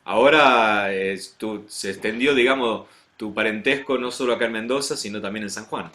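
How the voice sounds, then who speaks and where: Friendly Argentine pastor host. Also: male voice, fairly low pitch, moderate pace, pushed and bright. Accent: Argentine Spanish. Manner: friendly, addresses viewers directly. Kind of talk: hosting an interview show.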